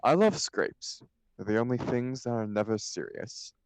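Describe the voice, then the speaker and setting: A man's voice: medium pitch, big pitch swings, normal speed, no room sound, poor audio. A man reading a flippant, idle young upper-class English dandy in a stage comedy, breezy and amused in manner.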